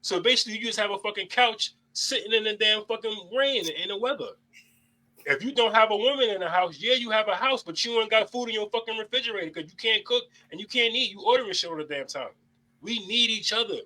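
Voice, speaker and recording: A man's voice: sits high, fast talker, bright, forceful tone, low-quality recording. A young adult man hosting a casual panel debate, talking bluntly and preachily, with plenty of swearing. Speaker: host.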